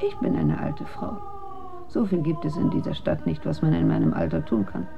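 A woman's voice: low-pitched, moderate pace, gravelly, dull audio, over music. An actress in a radio drama playing a kindly, doting old woman with a fond, motherly way of talking. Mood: concerned. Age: older. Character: kindly, doting old lady, devoted contest spectator.

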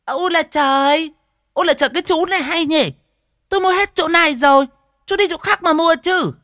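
A man voicing a sharp-tongued, scornful market woman who haggles and exclaims over and over in an audiobook story. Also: very high voice, fairly quick, no echo, studio quality, voice pushed up. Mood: scornful haggling.

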